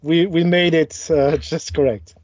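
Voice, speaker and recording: A man's voice: on the high side, fairly even intonation, measured pace, a little mellow, dry recording. Dry teasing man on a dead, dull mic. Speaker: podcast co-host. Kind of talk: casual chat.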